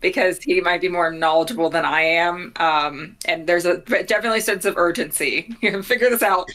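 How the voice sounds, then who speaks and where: Young woman gaming on a call, voice a bit low, casual. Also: moderate pace, fairly bright tone. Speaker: player in a tabletop game. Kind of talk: casual game talk. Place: small home room, video call.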